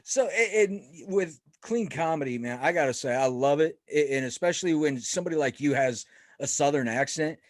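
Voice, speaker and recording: A man's voice: fairly high pitch, expressive, brisk, no room sound, studio quality. A man hosting a casual interview show, upbeat and chatty as he asks questions. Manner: upbeat, chatty, laughs a lot. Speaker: podcast host.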